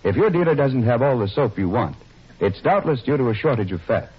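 A man's voice: medium pitch, very animated, fairly quick, slightly rough. A man working as a 1940s radio announcer, reading scripted sponsor messages in a polished, persuasive way. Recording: muffled 1940s radio broadcast.

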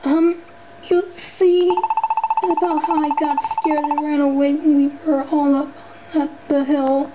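A woman voicing a timid, earnest young puppy creature in a game fandub, halting and stammering. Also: high voice, flat delivery, very noisy. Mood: pleading, frightened. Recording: loud music over the voice.

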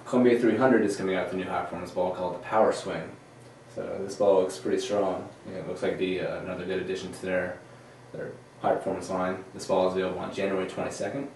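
A man's voice: deep voice, little pitch movement, fast, darkish tone, slight background noise. A man in his thirties co-hosting a bowling gear show, steadily running through new product releases and agreeing with his co-hosts, recorded from a far camera. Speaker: co-host. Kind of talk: casual product rundown. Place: studio room with a bowling-lane backdrop. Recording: echoey room from a distant mic.

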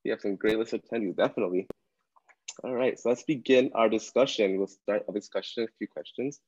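A man's voice: fairly low pitch, quick pace, darkish tone, no echo. A man in his thirties hosting a live online interview, reading introductions with small slips, on a video call from a room. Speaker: event host. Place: indoor room at a desk chair. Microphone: webcam on a video call. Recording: dull lo-fi video call audio.

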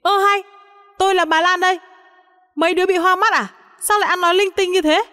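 Lady narrator doing confused feisty granny turned young, high scolding voice, lots of reverb. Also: expressive, moderate pace, a bit bright. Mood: shrill indignation. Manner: indignant, scolding, exclamatory. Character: bewildered, feisty grandmother suddenly turned young woman. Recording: long hall-like reverb on the voice.

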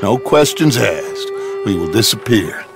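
An animated, grandiose American outlaw leader. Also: male voice, fairly low pitch, unhurried, gravelly, over music, exaggerated voice. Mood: urgent, persuasive. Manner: theatrical, urgent, persuasive. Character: grandiose, persuasive American outlaw gang leader with big schemes. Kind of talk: video game cutscene acting.

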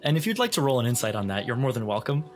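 A man narrates a tabletop role-playing game as its game master. His voice is mid-pitched for a man, with music playing underneath. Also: very animated, rapid-fire. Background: background music.

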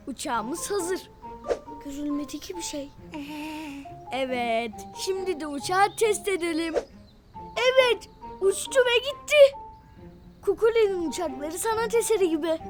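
A woman voicing a small, kind-hearted, eager young cartoon animal in an expressive, childlike way for a children's show. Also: extremely high pitch. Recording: music behind the voice. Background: cartoon music.

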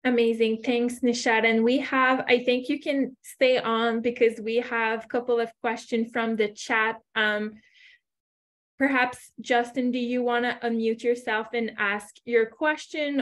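A woman's voice: fairly high pitch, fairly even intonation, a little slow, a bit bright. A woman in her twenties moderating an online panel informally, speaking through an earphone mic. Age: 20s. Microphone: wired earphones on video call.

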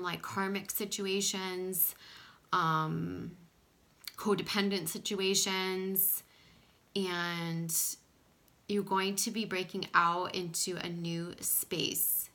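Female card reader, bright voice roughened by pollen, gentle and reassuring, recorded at a table in a small home room. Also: medium pitch. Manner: soft-spoken, drifting into whispers, frequent "okay?". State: scratchy voice from pollen. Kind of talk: casual oracle card reading.

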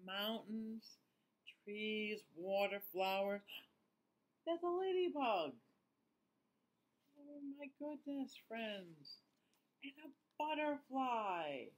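A man reads a picture book to young children. His pitch swings widely and he takes his time, stretching words out very slowly. The delivery is exaggerated and teacherly. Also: low-quality recording. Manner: animated, exaggerated, drawn-out delivery with gasps. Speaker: teacher reading aloud to children. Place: small indoor room, seated facing camera.

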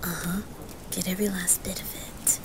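A woman voicing a gentle, caring, hesitant anime boy in an ASMR roleplay, soft, breathy and often whispering. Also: lowish voice, level tone, close to an everyday voice. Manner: hesitant, quiet, with pauses.

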